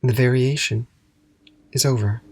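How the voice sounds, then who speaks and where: A performer playing an intense, eerie narrator in a scripted horror audio drama, speaking dramatically and deliberately to the listener as "you". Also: medium pitch, steady tone, slightly rough. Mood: cold, quiet. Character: intense, eerie second-person narrator of a dancer's unraveling. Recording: loud music over the voice. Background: loud piano music.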